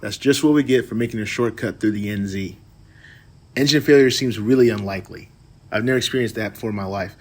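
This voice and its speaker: A man playing a calm, professional mercenary bodyguard in a sci-fi audio drama, speaking in a measured, matter-of-fact way. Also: on the low side, lightly acted. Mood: firm, professional.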